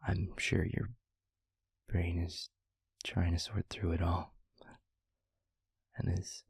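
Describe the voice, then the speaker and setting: A man playing a gentle, weary demon in an intimate audio roleplay, with a soft, halting, breathy delivery that often nears a whisper. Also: low voice, little pitch movement, no room sound, full character voice. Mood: flustered, evasive. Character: gentle, weary male demon, tender and guarded.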